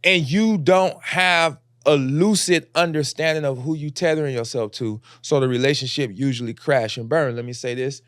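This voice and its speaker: A man's voice: mid-range voice, moderate pace, few pauses, a bit bright, dry recording, studio quality. A man on a podcast making provocative, declarative points in casual talk and repeating them for emphasis. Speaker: podcast host. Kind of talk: casual opinionated podcast commentary.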